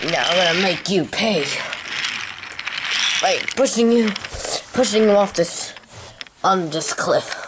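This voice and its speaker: A woman voicing an angry, vengeful friend who makes low, slow threats in a told story. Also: close to an everyday voice.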